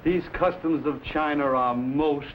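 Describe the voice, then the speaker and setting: An actor playing a courteous, wonderstruck Venetian explorer, formal and earnest, in a vintage adventure serial. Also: male voice, a little high, measured pace, natural voice. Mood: politely hurried. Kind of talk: 1950s television adventure serial acting. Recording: muffled old broadcast with faint noise.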